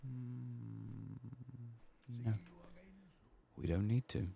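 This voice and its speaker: A man giving soft-spoken ASMR commentary while playing a game, murmuring to himself on a close microphone. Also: fairly low pitch, fairly even intonation, very slow, vocal fry. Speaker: gameplay streamer.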